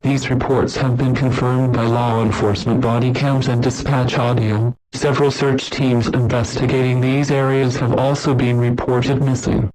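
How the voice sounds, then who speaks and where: A man delivers a scripted emergency broadcast as an announcer, formal, measured and impersonal. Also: mid-range voice, level tone, a little mellow, slight background noise, poor audio.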